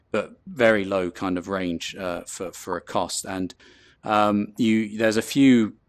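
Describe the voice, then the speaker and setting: A man with a London accent talks through his points in a relaxed, rambling way, full of ums and restarts. His voice sits a little low. The sound is dry and close to the mic. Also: dry recording. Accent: London English. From England. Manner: loose and chatty, many ums and restarts. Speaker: host presenting a talk. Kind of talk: casual presentation with filler words.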